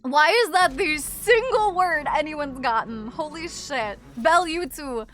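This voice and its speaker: A woman streamer chatting casually with her viewers in an animated, teasing way. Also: high voice, measured pace, faint music behind. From not from Japan. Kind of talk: casual live-stream chat.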